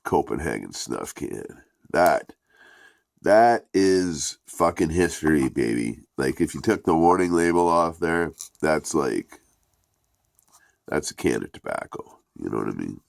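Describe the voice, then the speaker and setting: Reviewer: man with a very deep, gravelly, congested voice; loose, profane, rambling delivery, close and dry. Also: sing-song, unhurried, no echo. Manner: profane, rambling, laid-back. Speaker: product reviewer.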